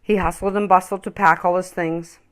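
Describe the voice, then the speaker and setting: A woman reading a children's story aloud with expressive, emphatic, dramatic phrasing. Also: fairly low pitch, moderate pace.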